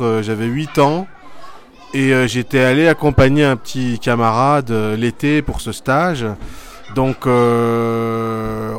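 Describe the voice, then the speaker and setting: A man's voice: medium pitch, slight background noise. A middle-aged man from Belgium, a theatre artist and teacher, answering interview questions thoughtfully with many hesitations. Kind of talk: school media interview, reflective answers.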